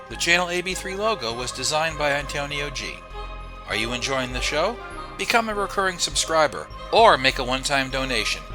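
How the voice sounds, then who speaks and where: A man's voice: mid-range voice, rough recording. A man reading scripted podcast credits as the show's announcer. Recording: music bed under the voice. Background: music.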